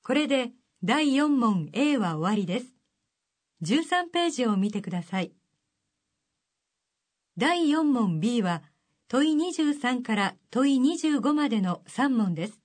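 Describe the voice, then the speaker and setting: A woman reading listening-test instructions in a formal, measured announcing style. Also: on the high side, sing-song. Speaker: exam announcer.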